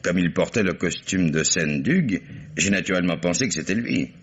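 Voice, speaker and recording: An actor playing a proper, guarded husband under police questioning in a radio mystery, formal and evasive. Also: male voice, low-pitched, animated, normal speed, slightly rough. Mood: defensive. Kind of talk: French radio mystery drama acting. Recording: dull, band-limited radio drama recording.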